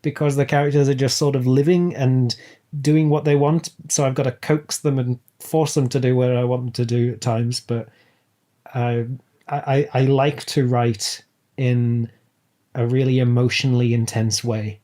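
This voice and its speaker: A man with a mellow, medium-pitched voice talks casually as a podcast guest. His phrasing is articulate and reflective, as if explaining his own creative habits. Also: steady tone, normal speed. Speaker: podcast guest, audio drama writer. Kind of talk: casual, reflective interview chat.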